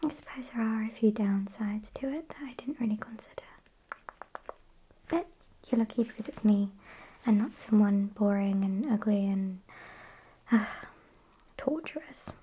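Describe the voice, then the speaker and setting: A British woman voicing a sassy, smug female vampire in a soft, breathy ASMR roleplay whisper, with hesitant pauses and mock-polite condescension. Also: medium pitch, lightly acted, rough recording. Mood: smug, matter-of-fact, then sassy, self-satisfied.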